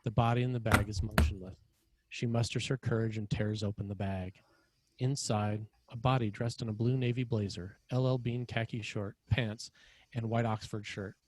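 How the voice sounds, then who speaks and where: A man's voice: lowish voice, fairly even intonation, moderate pace, no room sound, rough recording. A man reading screenplay action lines as narrator at a table read. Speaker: screenwriter narrating stage directions.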